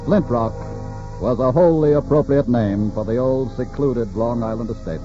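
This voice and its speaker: A dull vintage broadcast with music carries a male announcer. His voice is dark and mellow at an ordinary male pitch. He delivers scripted narration gravely and dramatically, in classic 1940s radio style. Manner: grave, dramatic, measured phrasing. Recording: muffled 1940s radio recording.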